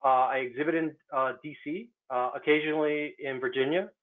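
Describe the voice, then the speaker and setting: A man's voice: fairly high pitch, fairly even intonation, brisk. A man, an artist, speaking in a casual online talk, hesitant and full of fillers. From United States. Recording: tinny phone-like line.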